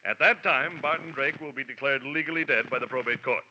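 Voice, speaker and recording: An actor playing a pompous, blustering middle-aged insurance manager in a 1940s radio drama, talking gruffly and haltingly. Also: male voice, medium pitch, sharp tone. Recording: muffled old radio recording with faint hiss.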